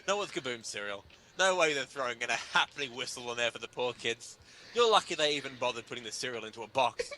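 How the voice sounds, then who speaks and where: A man performs a comic article in a bright, forceful voice with very lively intonation. His delivery is emphatic and sardonic, pitched as mock indignation. There is faint noise in the recording. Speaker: reader performing a humor article. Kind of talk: dramatic comedic reading of an article.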